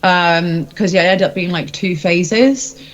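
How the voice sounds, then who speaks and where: A woman's voice: on the low side, unhurried, lo-fi sound. A woman speaking as a singer being interviewed, informal and reflective, feeling her way through candid answers. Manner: informal, hesitant, self-reflective.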